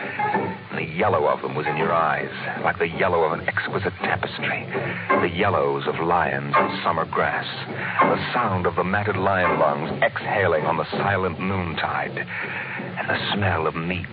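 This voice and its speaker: A male actor with an American accent playing a grave, measured narrator of a 1950s science fiction radio drama. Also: low-pitched. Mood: grave, vivid. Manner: measured, solemn, vivid storytelling. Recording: muffled vintage radio recording, loud music.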